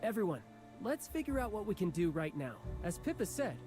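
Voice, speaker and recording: An actor playing a level-headed young male adventurer who speaks in a calm, measured way. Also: sits high, soft background music.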